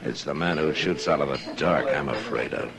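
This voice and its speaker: An American man acting a weary, steady middle-aged frontier marshal in an old-time radio western, speaking tersely. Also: very deep voice, normal speed, gravelly, a loud crowd. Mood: grave. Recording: old radio drama with music behind.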